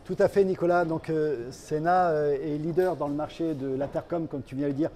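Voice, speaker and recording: A male company representative with a soft, mellow tone set a little high. He explains products as an interview guest, feeling his way through sentences and often repeating a word. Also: fairly even intonation, moderate pace, a little hiss or hum.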